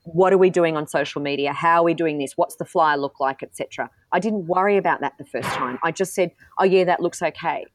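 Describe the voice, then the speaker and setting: A self-assured woman in middle age answers interview questions in a candid, forthright way. Her voice sits a little low, and she often restarts her sentences. The recording is close and dry, with no room sound. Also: fairly quick, few pauses, no echo. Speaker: politician and guest.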